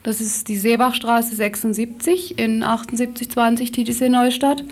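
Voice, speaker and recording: Higher-voiced woman explaining in an interview. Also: little pitch movement. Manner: explanatory, halting with self-corrections. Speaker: activist interviewee from a citizens' campaign. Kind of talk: spontaneous radio interview.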